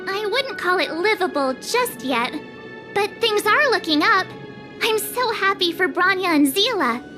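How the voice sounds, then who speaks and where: A very high, lively girlish voice over prominent game music. This teenage traveler is bubbly and talkative, with a playful, teasing streak. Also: measured pace. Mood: cheerful, eager. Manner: chatty, expressive, playful. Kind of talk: video game cutscene acting.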